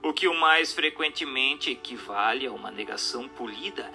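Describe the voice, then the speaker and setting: Bright, animated man narrating dramatically. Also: mid-range voice, moderate pace, creaky, faint music behind. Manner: rhetorical, declamatory, formal. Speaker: narrator of an audiobook radio drama. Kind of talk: dramatic scripted narration of a doctrinal text.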